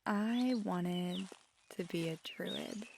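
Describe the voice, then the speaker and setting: A feisty, affectionate girlfriend played by a woman in an ASMR roleplay, speaking very slowly in a lowish voice. She is teasing and soft-spoken, halting now and then and dipping into whispers, with faint noise behind her. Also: gravelly, exaggerated voice. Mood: wistful, fond. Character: feisty, affectionate magical girlfriend who loves nature.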